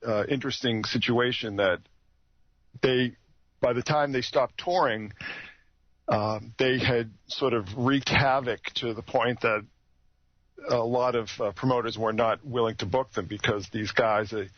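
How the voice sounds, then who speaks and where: Halting man on dull lo-fi talk radio. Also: medium pitch, steady tone. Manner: hesitant, halting, measured explaining. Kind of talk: unscripted radio discussion. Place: internet talk radio show with caller. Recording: dull lo-fi internet radio call audio.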